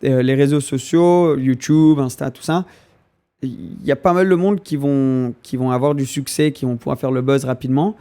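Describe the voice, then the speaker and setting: A man's voice: medium pitch, warm tone. A young man whose first language is English, a podcast guest who talks casually and hesitantly while he searches for French words.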